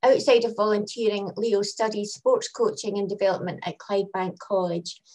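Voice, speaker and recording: A woman's voice: mid-range voice, steady tone, moderate pace, darkish tone, poor audio. A woman curator introducing speakers at an online event, speaking hesitantly with many restarts. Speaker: exhibition curator.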